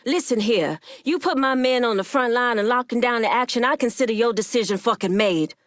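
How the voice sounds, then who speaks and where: An actress voicing a proud, shrewd, militant woman crime boss in a video game, speaking in a blunt, deliberate, streetwise way with plenty of swearing. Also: a little high, no echo, clean studio sound, close to an everyday voice. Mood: angry, demanding. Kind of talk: dramatic video game character acting.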